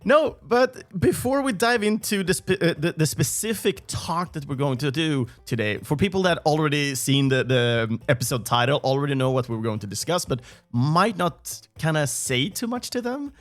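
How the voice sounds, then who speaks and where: A man's voice: fairly high pitch, lively intonation, moderate pace, hardly any pauses. A man hosting a video game music podcast over a remote call, chatting casually, laughing often and restarting his sentences. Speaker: podcast host. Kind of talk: casual interview chat.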